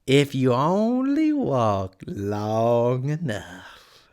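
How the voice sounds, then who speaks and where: Slow, swooping, mad Cheshire Cat. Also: mid-range voice, very animated, a little mellow, clear, resonant tone. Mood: sly, languid. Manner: drawn-out words, swooping and teasing. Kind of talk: storybook character voice.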